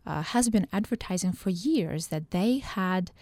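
Woman from Ukraine, professor guest, lowish mellow voice, dry close sound, hesitant with fillers. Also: animated, a little slow, no echo. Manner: thoughtful, with many hesitations and fillers. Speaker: political science professor guest. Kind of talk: radio interview answers.

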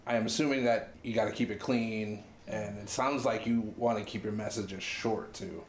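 Male interviewer, lower pitch, relaxed conversational questioning, roomy sound, light noise. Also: moderate pace. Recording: roomy echo, faint background noise.

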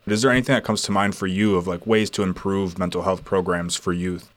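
A man's voice: sits low, fairly even intonation, a little fast. A man, a radio reporter, asking interview questions hesitantly with "uh" and "um".

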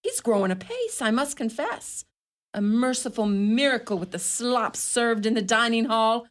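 Actress as a high-voiced, sharp-tongued Irish mother. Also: expressive, normal speed, close to an everyday voice. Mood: brisk, bossy. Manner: scolding, theatrical, scornful. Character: sharp-tongued, indignant Irish mother, middle-aged or older. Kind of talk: radio play acting.

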